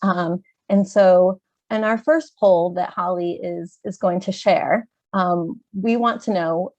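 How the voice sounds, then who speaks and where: A woman with an American accent from Chicago, a little congested, presenting a webinar in a clear, organized way with some "um" fillers. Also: fairly low pitch, fairly even intonation, slow, a little mellow, no echo. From Chicago, USA. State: slightly congested, clearing throat. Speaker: webinar presenter, education advisor. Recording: dull, dry video-call audio.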